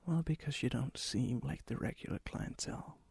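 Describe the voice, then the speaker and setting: Breathy, husky vampire charmer, played by a man. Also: mid-range voice, lively intonation, darkish tone, dry recording, exaggerated voice. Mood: flirtatious, courteous. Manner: slow, intimate, teasing, old-fashioned courtesy. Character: charming, ancient male vampire, flirtatious hopeless romantic. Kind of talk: soft-spoken ASMR roleplay, often whispered. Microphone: close mic, dry sound.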